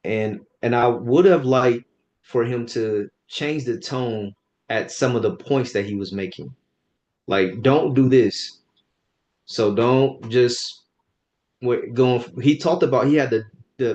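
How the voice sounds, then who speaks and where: A man's voice: on the low side, a little mellow, lo-fi sound. A man co-hosting a podcast who gives direct, informal feedback on a speech and repeats words while he thinks. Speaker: podcast co-host giving speech evaluation. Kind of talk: casual evaluative discussion.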